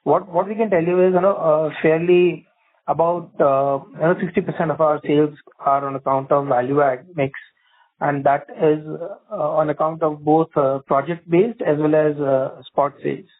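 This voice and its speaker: Male executive, Indian accent, slightly high voice, measured explanations over a narrow conference phone line. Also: steady tone. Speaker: company executive answering analysts. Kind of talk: earnings call answers.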